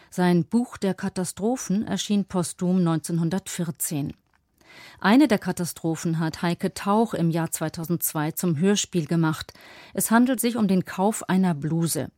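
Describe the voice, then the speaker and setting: Woman, radio presenter, scripted introduction, mellow timbre, close dry studio sound. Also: lowish voice, moderate pace, no room sound.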